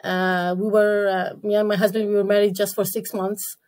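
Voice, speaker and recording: A woman from Iraq, a podcast guest, talking casually and haltingly with many fillers and restarts. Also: medium pitch, little pitch movement, a little mellow. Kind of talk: casual interview talk.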